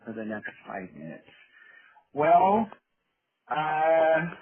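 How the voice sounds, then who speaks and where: A man's voice: fairly high pitch, big pitch swings, extremely slow, breathy edge. A man giving an informal, rambling presentation over a conference call, often checking in with tag questions. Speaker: advocacy group chair giving a presentation. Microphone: telephone conference call. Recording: narrow tinny phone line, chatter behind.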